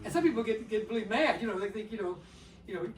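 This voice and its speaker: Fast-talking older man in an echoey room. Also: sits high, a little hiss or hum. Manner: animated, laughing, repeats words, quotes people. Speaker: father in a family circle. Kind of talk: storytelling in a community circle. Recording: echoey room, distant mic.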